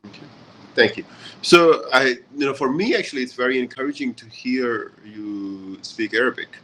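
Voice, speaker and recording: Chatty man with a bright voice. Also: mid-range voice, normal speed, slight background noise, poor audio. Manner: relaxed, chatty, many fillers. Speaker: session host. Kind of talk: casual online lesson. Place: hotel in a city abroad.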